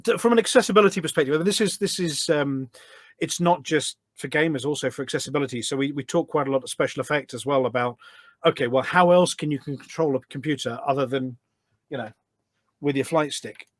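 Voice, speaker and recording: A man's voice: on the high side, brisk, no echo. A man talking enthusiastically in a podcast interview as a guest, explaining things step by step and often posing questions as he goes. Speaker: podcast guest, voice pack developer.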